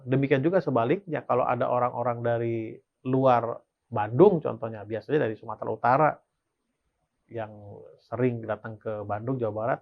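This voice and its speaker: A man's voice: medium pitch, gravelly, highs cut off. A man teaching a Sabbath school lesson, reading passages aloud and then explaining them, often asking a question and answering it himself. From Indonesia. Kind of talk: Bible study lesson.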